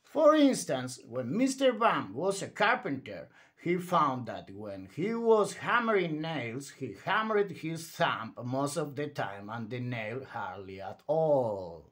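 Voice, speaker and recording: A man's voice: medium pitch, big pitch swings, slow pace, hardly any pauses, fairly bright tone. A man reading a children's story aloud in a theatrical, emphatic bedtime read-aloud style.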